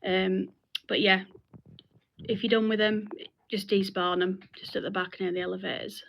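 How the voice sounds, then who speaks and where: A woman's voice: mid-range voice, level tone, moderate pace, vocal fry, muffled recording. A woman leading an informal group meeting, explaining things in a hesitant, repetitive way. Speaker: meeting leader.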